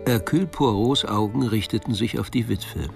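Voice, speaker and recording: Crime-play narrator, male: gravelly, somewhat low, measured scripted storytelling with faint music.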